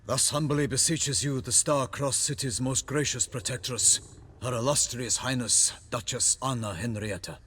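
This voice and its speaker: An actor playing a solemn knight errant in a fantasy game, speaking in a formal, florid, pompous manner. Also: male voice, medium pitch, moderate pace, fairly bright tone, slightly rough. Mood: grandly ceremonial. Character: solemn, courtly male knight errant bearing a message. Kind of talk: cinematic fantasy game dialogue.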